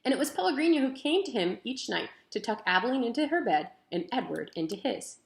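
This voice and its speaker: A woman's voice: fairly high pitch, very animated, fairly quick, gravelly. Woman reading a storybook in an echoey room. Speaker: teacher reading aloud. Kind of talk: expressive children's book narration. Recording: roomy echo.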